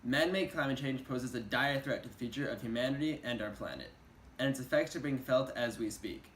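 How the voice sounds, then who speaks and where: A young man reading scripted news as an anchor, formal and measured. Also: mid-range voice, fairly bright tone. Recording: roomy echo, mic at a distance, faint hiss.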